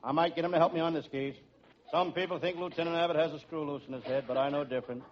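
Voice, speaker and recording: An actor playing a wisecracking hard-boiled private detective in an old-time radio comedy, with dry, deadpan delivery. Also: male voice, a little high, fast, close to an everyday voice. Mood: wry narration. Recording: muffled, noisy old radio recording.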